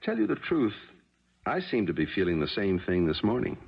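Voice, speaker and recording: An American actor playing a troubled, pensive middle-aged husband in a 1970s radio drama, with a husky voice. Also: male voice, on the low side, wildly swooping pitch, fairly quick, full character voice. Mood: pensive, haunted. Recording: muffled 1970s radio recording.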